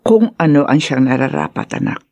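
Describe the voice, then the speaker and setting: Husky, low-voiced consoling mother. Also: expressive, moderate pace, warm tone, highs cut off. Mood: concerned. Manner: earnest, comforting, advising. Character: gentle older mother consoling her troubled grown daughter. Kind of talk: Filipino radio drama acting.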